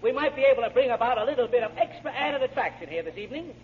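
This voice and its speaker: An actor playing a chatty nightclub bandleader coaxing a patron with emcee patter in 1940s radio style. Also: male voice, very high-pitched, lively intonation, racing. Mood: jovial, coaxing. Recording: muffled noisy radio broadcast, crowd behind.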